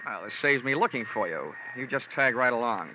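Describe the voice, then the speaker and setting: An actor playing a wisecracking, unflappable tough insurance investigator in an old-time radio detective drama, wry and slangy. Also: male voice, medium pitch, lively intonation, a little fast, sharp tone, lo-fi sound, a little hiss or hum. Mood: sardonic. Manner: wry, hard-boiled, slangy.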